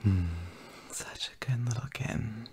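Slow, breathy man murmuring intimately. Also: fairly low pitch, steady tone. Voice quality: breathy, half-whispered. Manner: tender, gently dominant, slow murmuring with soft hums. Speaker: audio roleplay performer. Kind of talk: intimate whispered boyfriend roleplay.

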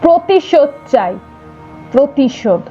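Fierce, high-voiced vengeful loyalist, acted by a woman. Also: sing-song, unhurried, warm tone, dull audio, faint music behind. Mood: angry, defiant. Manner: defiant, impassioned declaiming. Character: fierce, vengeful loyal leader of royal attendants, male.

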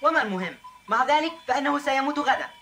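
A cheeky young goatherd boy in a dubbed cartoon, teasing and dismissive. The voice is high and bright, and he talks fast. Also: expressive, faint music behind. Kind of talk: Arabic cartoon dub.

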